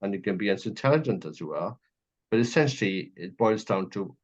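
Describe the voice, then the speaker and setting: A man with a Welsh accent and a fairly low voice hosts a conversational interview. He talks emphatically, building long chains of clauses. The audio is somewhat dull and muffled. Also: lively intonation, fairly quick. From Wales. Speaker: radio interview host.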